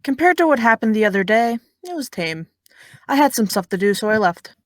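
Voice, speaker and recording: A young actress playing a blunt, sarcastic high school girl with no filter. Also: very animated, brisk, no echo, clean studio sound. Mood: sardonic. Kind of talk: audio drama.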